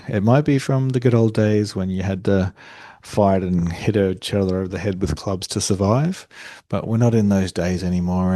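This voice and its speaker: A young Australian man talks through a solo podcast episode in a low, mellow voice. He rambles casually, restarting sentences and padding with fillers, in a delivery he himself calls monotone. The sound is dry and close, with no room around it. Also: vocal fry, no room sound. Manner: conversational, self-described monotone, frequent fillers and restarts. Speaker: podcast host.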